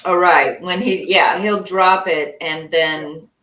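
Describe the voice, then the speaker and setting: Blunt bright-voiced woman in an echoey room. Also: on the low side, fairly even intonation, takes it slowly. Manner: blunt, salty asides. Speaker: co-host. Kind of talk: casual opinion chat. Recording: echoey room, voice far from mic.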